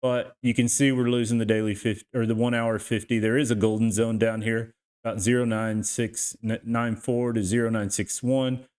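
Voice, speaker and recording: A man's voice: medium pitch, little pitch movement, moderate pace, hardly any pauses, a little mellow, clean tone. Man, Texan accent, folksy, conversational, casual market commentary, channel host. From Texas, USA. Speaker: host of a crypto trading channel.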